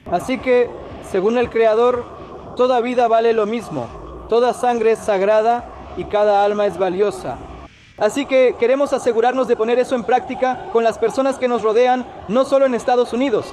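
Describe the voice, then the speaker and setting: A man's voice: very high-pitched, a little mellow, a little hiss or hum. A middle-aged man from India who grew up there, earnestly explaining his organization's work in a casual conversation, sprinkling in Arabic phrases. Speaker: guest speaker, organization director.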